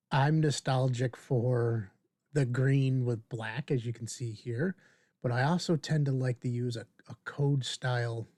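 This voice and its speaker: A man in his fifties hosting a casual screen-share software tutorial, informally thinking aloud as he demonstrates. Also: medium pitch, no echo, studio quality. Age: 50s. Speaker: video tutorial host.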